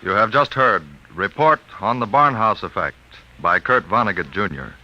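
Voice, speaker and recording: Animated male old-time radio announcer. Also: on the low side, normal speed, background noise. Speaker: programme announcer. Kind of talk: 1950s radio announcing, scripted credits. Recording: dull vintage radio broadcast recording. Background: loud music.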